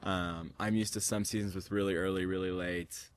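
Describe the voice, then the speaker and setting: A man's voice: low voice, flat delivery, normal speed, a little hiss or hum. A young college man from Ohio answering street questions in a casual, chatty way. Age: young adult. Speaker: interviewee.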